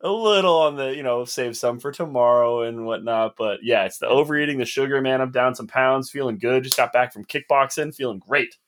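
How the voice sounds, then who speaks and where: A man's voice: medium pitch, brisk, few pauses, a bit bright. A man co-hosting a casual podcast, chatty and rambling, teasing his friends. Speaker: podcast co-host.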